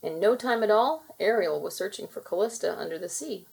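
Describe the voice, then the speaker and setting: Lady reading a kids storybook out loud, lively pitch, bit of creak and husk in the voice. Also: a little fast. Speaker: storybook reader. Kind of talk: expressive children's read-aloud narration.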